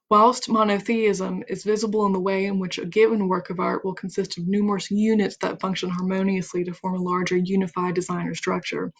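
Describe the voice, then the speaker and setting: A woman hosting a live stream, reading a book aloud in a steady voice. She stumbles on some words, restarts them and stops for chatty asides. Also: medium pitch. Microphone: computer mic on a home live stream.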